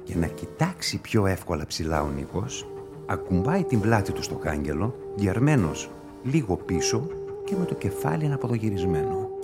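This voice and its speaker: An actor in a radio play playing a patient, methodical police investigator who questions and explains in a measured way. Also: male voice, on the low side, very animated, loud music behind the voice. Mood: pondering. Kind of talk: radio drama acting.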